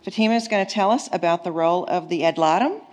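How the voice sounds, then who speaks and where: A woman's voice: fairly low pitch, fast, darkish tone. Woman moderator introducing a speaker. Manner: brief, formal introduction. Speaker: event moderator. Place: conference hall. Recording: reverberant hall.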